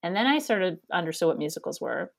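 A woman's voice: lowish voice, big pitch swings, fast talker, gravelly. Chatty nasal-voiced woman. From Canada. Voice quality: nasal and loud voice. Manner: chatty, rambling, laughs often. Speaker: guest, theater education director. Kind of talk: casual interview conversation.

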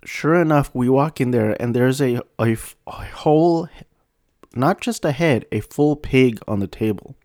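A man's voice: medium pitch, unhurried, a little mellow, dry recording, clean studio sound. A young man telling a personal story in a casual, self-deprecating, rambling way with frequent restarts. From United States.

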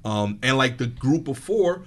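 Comedian dude just talking, rambly and hyped, tons of "like", normal pitch. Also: male voice, unhurried. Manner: animated, rambling, many filler "likes". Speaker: stand-up comedian. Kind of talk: casual chat.

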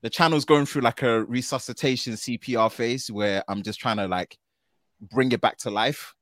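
A man from the United Kingdom, a tech video creator guesting on a podcast, talking in an animated, slangy way and often ending phrases with "man.". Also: mid-range voice, normal speed, no echo, clean studio sound. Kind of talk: casual podcast conversation.

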